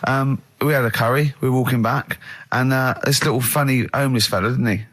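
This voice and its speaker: A man's voice: mid-range voice, fairly even intonation, fairly quick, fairly bright tone. A man in comic radio banter, teasing and giggly, often acting out little dialogues. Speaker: radio show co-host.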